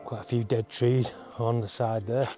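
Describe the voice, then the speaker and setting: A man with a soft, mellow voice at an average pitch talks casually as he walks a trail outdoors. He is chatty and wryly understated, sounding exerted from climbing, with music playing behind him. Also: level tone, normal speed. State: exerted from an uphill hike. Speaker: travel vlogger and host. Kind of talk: casual walk-and-talk hiking commentary. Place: outdoors on a forest hiking trail.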